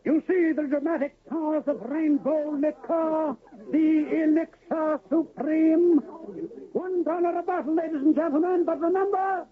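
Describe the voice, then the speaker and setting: An actor in a radio drama playing a pompous, florid traveling medicine-show pitchman who pours out a grand sales patter, on a narrow lo-fi old radio recording. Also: steady tone, a little slow. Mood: grandiloquent. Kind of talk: 1970s radio drama acting.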